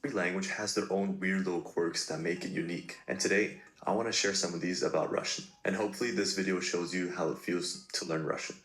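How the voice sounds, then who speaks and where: A man's voice: sits low, steady tone, fast, few pauses, fairly bright tone. A man giving upbeat, joking scripted narration about learning a language. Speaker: language-learning video creator. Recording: roomy echo with faint music.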